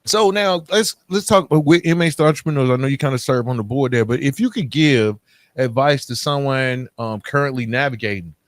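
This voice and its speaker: A man's voice: medium pitch, brisk, dry recording. American man hosting, close dry sound. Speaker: podcast host, interviewer. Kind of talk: casual conversational interviewing.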